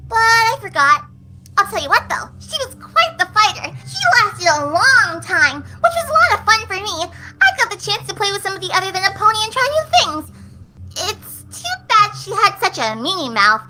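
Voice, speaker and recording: A very high, childlike girl pony chirps and chatters with sadistic cheer. The delivery swings up and down in lively cartoon fashion. Clear noise and clicks sit on the track of this horror story. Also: moderate pace, exaggerated voice, poor audio. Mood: gleefully chatty. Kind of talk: dramatized horror fan fiction reading.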